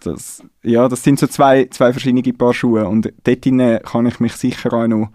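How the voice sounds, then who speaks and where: A Swiss-accented man talks as a guest in a relaxed interview, pausing and restarting as he feels his way through a thought. His voice is mellow and warm, recorded close and dry with no room sound. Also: medium pitch, no room sound. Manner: thoughtful, searches for words with restarts. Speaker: guest musician. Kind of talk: casual interview conversation.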